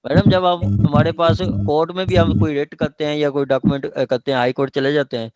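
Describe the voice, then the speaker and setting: A man's voice: on the high side, brisk, dull audio, very noisy. A man with a Pakistani accent giving an informal online law lecture, explaining by repeating points and checking that listeners follow. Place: online meeting.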